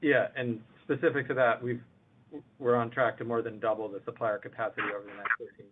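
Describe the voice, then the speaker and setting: A man's voice: mid-range voice, flat delivery, brisk, a little hiss or hum. A man speaking as a company executive, answering analysts' questions on an earnings call. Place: conference call line. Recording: thin phone-line conference audio.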